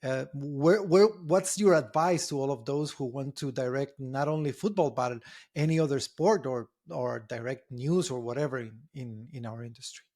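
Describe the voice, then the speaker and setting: A man's voice: medium pitch, normal speed, darkish tone. A man from Costa Rica hosting an interview, speaking English in long flowing runs and asking questions. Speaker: interviewer, host.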